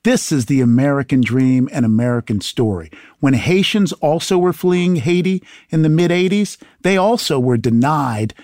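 A man appearing as a guest in a political interview, speaking in a measured, earnest, and firm way. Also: a little high, animated, darkish tone, no echo, clean studio sound. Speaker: guest, attorney general.

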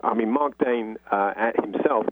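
London man on a husky, phone-like line. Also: on the low side, normal speed, dry recording. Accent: London English. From North London, England. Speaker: guest novelist. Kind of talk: conversational radio interview answers. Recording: phone-like, muffled narrow-band line.

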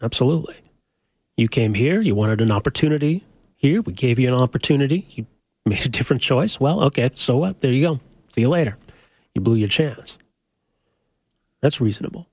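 A man hosting a call-in talk radio show, questioning callers in a quick, conversational way. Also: mid-range voice, animated, a little mellow, breathy edge, dry recording.

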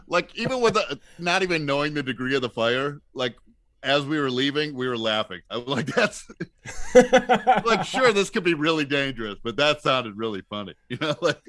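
A man with a bright, forceful voice tells stories in a casual, comic way. He laughs readily as he talks, and the sound is dry and close. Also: a little high, big pitch swings, moderate pace, dry recording. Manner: laughs often while talking, acts out shouted quotes. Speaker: comedian guest on a podcast, works in elevators.

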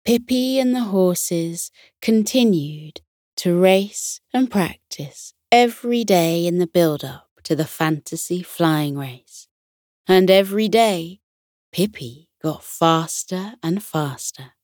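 A woman narrating a scripted children's bedtime story in a gentle, soothing storytelling manner. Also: on the low side, animated, takes it slowly, no echo, clean studio sound.

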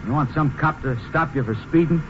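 A man's voice: a little high, a little fast, close to an everyday voice. An actor playing an irritable, bossy small-time car thief, a man with scolding, slangy rural speech in a radio drama. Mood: irritated, bossy. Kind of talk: 1950s western radio drama acting. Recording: muffled, noisy 1950s radio recording.

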